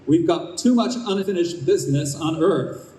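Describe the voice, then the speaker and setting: Casting: male actor as stubborn old army dad, blustering, highish mellow voice, echoey stage radio play. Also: moderate pace, close to an everyday voice. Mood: indignant, demanding. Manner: blustering, insistent. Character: stubborn, blustery old ex-drill-sergeant father, newly dead. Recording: echoey live stage, distant microphone.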